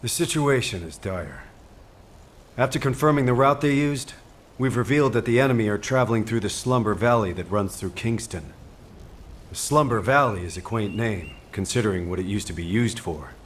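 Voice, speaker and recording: A man playing a composed, caring military officer in a video game, giving grave briefings in a measured, formal, earnest way. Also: on the low side, a little hiss or hum, natural voice. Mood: grave, concerned. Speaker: mission commander giving briefings. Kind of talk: scripted video game dialogue.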